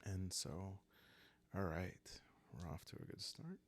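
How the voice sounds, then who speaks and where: A man hosting a solo roleplay game playthrough, calm and soft-spoken, improvising the story and thinking aloud as he goes. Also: low-pitched, slow pace, dry recording. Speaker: host playing a solo journaling roleplay game.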